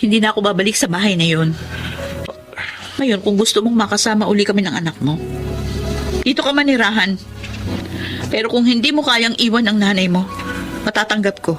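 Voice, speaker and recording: A woman's voice: mid-range voice, fairly quick, pauses often, close to an everyday voice. An actress playing an outspoken, emphatic young wife and mother in a Filipino radio drama. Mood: firm, pleading. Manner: emphatic, assertive. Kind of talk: Filipino radio drama acting and first-person narration. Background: music behind the voice.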